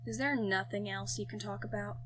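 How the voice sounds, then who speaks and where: A woman's voice: mid-range voice, steady tone, fairly quick, a bit bright, slight background noise, close to an everyday voice. Woman playing a prickly, guarded Londoner man. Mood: irritated. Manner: guarded, terse, grumbling. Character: wary, prickly young Londoner man, indignant.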